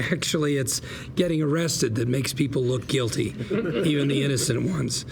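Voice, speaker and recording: A man playing a gravelly, persuasive small-time lawyer. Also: medium pitch, normal speed, noisy background, close to an everyday voice. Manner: persuasive salesman patter, hesitant asides. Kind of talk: live table read of a TV script.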